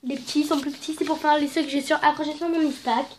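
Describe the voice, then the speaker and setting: A young woman with a high voice talks her way through a home craft video, rambling and playful. Her nose is stuffy from a cold. She records on a phone at home, and a faint noise sits behind her. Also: steady tone, quick pace, a little mellow. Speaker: craft vlogger. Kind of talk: casual craft tutorial. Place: at home indoors. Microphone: phone camera.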